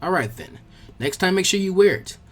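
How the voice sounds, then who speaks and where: Man as a gently stern middle-aged librarian. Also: high-pitched, animated. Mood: mildly stern. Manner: measured, mildly chiding. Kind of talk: fan fiction audiobook character voice.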